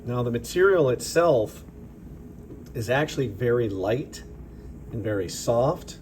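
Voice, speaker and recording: A slightly raspy man, a chemistry teacher, narrating a lab demonstration off camera in a relaxed, explanatory way with fillers. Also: mid-range voice, measured pace, a little hiss or hum. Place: school chemistry lab with vents. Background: ventilation fans running.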